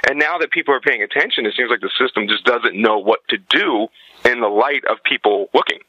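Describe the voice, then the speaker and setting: A man's voice: mid-range voice, fairly quick, pushed and bright, no echo, lo-fi sound. A man giving blunt, emphatic opinions as a guest in a podcast interview.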